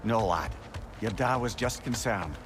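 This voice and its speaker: An actor playing a jovial, wry adviser in a video game, talkative and coaxing, with a Scottish accent. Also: male voice, fairly low pitch, animated, a little slow, noisy background. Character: jovial, wry, chatty older adviser, a loyal companion. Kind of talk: video game character acting.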